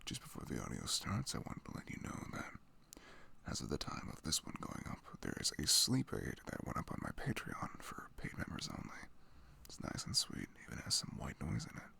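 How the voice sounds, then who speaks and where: A man speaking softly and breathily in a low voice, making an ASMR-style announcement. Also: huge pitch swings, a little fast, creaky, slightly rough. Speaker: ASMR audio creator. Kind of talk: soft spoken ASMR announcement.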